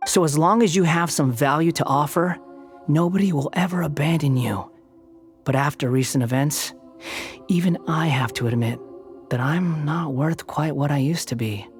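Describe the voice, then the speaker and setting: A man's voice: on the high side, moderate pace, close to an everyday voice. A man voicing an aloof, cunning male puppet and former villain who speaks in a cool, sardonic drawl. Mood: cynical. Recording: game audio with faint music.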